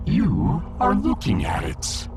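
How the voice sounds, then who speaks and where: A man's voice: medium pitch, wildly swooping pitch, slow pace, gravelly, music in the background, heavily acted. A man voicing a pompous, gloomy little alien fish emperor in a sci-fi audiodrama, theatrical, long-winded and imperious. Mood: imperious, menacing. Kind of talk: sci-fi audiodrama character acting.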